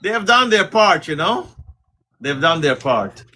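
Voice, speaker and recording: A middle-aged man from Guyana hosting a casual livestream as a community leader, talking in a halting way with many fillers. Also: on the high side, big pitch swings, bright, forceful tone, slightly rough.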